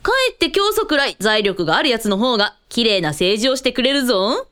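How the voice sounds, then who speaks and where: A woman's voice: on the high side, big pitch swings, brisk, a bit bright, clear, resonant tone. A woman playing a brusque, hot-tempered, commanding female character in a comic radio drama, with blunt, sardonic, mannish phrasing. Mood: indignant, sardonic.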